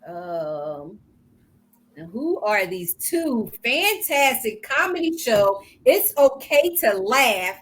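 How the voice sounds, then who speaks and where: Older lady cohosting a live talk show, high voice, chatty and laughing a lot. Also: slow. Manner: lively, chatty, laughs often. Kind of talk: casual live show chat.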